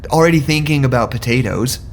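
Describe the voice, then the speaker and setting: An actress playing an easygoing facility staffer with dry humor, chatting casually in an audio drama. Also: female voice, rumbling low, quick pace, a little hiss or hum. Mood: casual, wry. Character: easygoing, drily joking female facility staffer. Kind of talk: naturalistic audio drama dialogue.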